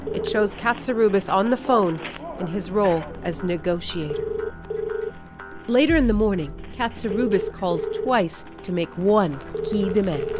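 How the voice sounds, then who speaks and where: A woman news correspondent reading a scripted documentary report in a measured, dramatic storytelling way. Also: medium pitch. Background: music bed under the voice-over.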